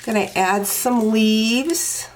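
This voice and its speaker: A woman with a congested, stuffy nose, walking through a craft project step by step with frequent fillers. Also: on the high side. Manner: slow, step-by-step instructing with fillers. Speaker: card-making demonstrator. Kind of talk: crafting tutorial walkthrough.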